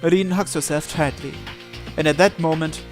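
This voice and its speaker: A man with a slightly high voice reads a visual novel aloud. His narration is theatrical and suspenseful, with game music playing behind him. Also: fairly even intonation, moderate pace. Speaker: visual novel let's player. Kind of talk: dramatic read-aloud narration.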